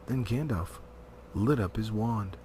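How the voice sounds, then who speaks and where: A man's voice: lowish voice, takes it slowly, warm tone. A man giving a theatrical, emphatic storybook narration as an amateur audiobook reader. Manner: theatrical, emphatic, with varying volume. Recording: noticeable background noise.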